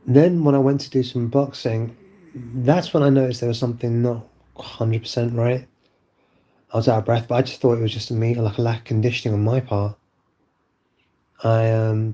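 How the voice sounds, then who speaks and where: A man vlogging from home while unwell, his voice lowered by illness, rambling and hesitant with many fillers. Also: mellow. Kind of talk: casual monologue to camera. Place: at home indoors.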